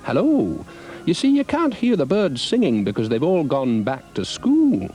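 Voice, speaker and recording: A man's voice: on the high side, wildly swooping pitch, measured pace, warm tone, faint music behind, rough recording. Man as a swooping, officious storybook bird trainer. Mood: explaining. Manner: chatty, pompous, encouraging schoolmaster. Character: brisk, officious male trainer of birds. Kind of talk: children's storybook character voice.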